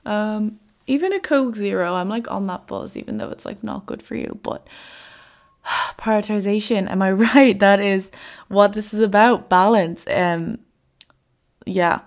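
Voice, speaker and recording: A woman's voice: medium pitch, unhurried. A young woman hosting her podcast in a loose, chatty way with lots of fillers, a little congested and nasal from a cold. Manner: chatty, rambling with many fillers. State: congested, a bit sick. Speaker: podcast host. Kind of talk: casual solo podcast chat.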